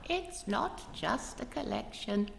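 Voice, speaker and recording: A woman playing a genteel, sly old lady book collector in a live radio play, creaky, measured and coyly knowing. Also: a little high, expressive, heavily acted. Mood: genteel, guarded. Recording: noisy live recording in reverberant hall.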